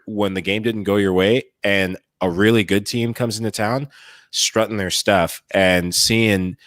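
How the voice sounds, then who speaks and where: A Midwestern American man with a very low voice talks soccer as a former player. He is emphatic and keen. The sound is dry. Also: steady tone, unhurried, a bit bright, no room sound. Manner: enthusiastic, emphatic. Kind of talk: casual sports talk.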